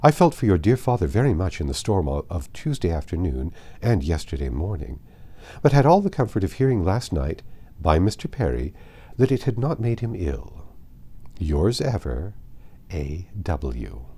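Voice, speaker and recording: A man's voice: low voice, very animated, moderate pace, warm tone, breathy edge, heavily acted. A man voicing a kindly, genteel married woman's affectionate, formal letter in a classic novel reading. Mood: fond, reassuring.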